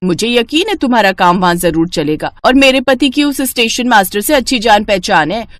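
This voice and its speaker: A woman's voice: a little high, lively intonation, moderate pace, natural voice. A woman voicing a helpful, confident landlady giving advice in an assured manner.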